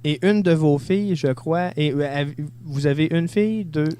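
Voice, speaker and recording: A man with a Quebec French accent interviewing a guest on radio, asking casual questions in halting phrases. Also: fairly high pitch, mellow, clear, resonant tone. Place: radio studio.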